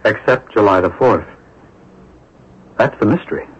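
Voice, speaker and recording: An eager, husky-voiced secretive neighbour. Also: male voice, on the low side, moderate pace. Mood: intrigued, secretive. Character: eager, secretive neighbour, a man obsessed with a mystery. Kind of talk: radio mystery drama acting. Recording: dull lo-fi old radio broadcast recording.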